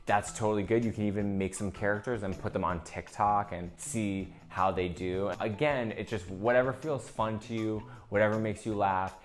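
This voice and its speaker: A man giving a casual, conversational lesson as a comedy instructor, often dropping "like" into his sentences. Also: lowish voice. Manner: conversational, peppered with "like". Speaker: comedian teaching character reels. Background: faint music.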